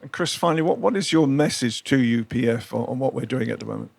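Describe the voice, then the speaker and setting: A man's voice: medium pitch, expressive, fast talker, vocal fry, darkish tone, breathy edge, no echo. A man working as an interviewer, putting questions to a guest.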